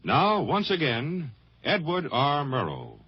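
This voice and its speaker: A man's voice: on the high side, wildly swooping pitch, slow pace, slightly rough. An American man announcing a 1950s radio program in a solemn, declamatory, dramatic style. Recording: dull vintage 1950s radio broadcast. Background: music.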